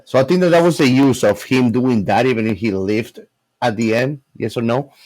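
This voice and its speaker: A man's voice: medium pitch, moderate pace, darkish tone. A man co-hosting a casual movie discussion, talking in a slangy, emphatic way. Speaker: podcast co-host.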